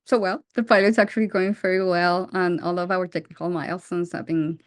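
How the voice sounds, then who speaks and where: A woman's voice: lowish voice, fairly even intonation, a little fast, no echo, studio quality. A woman in her forties with a Colombian accent, a startup founder answering interview questions with frequent restarts and fillers. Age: 40s.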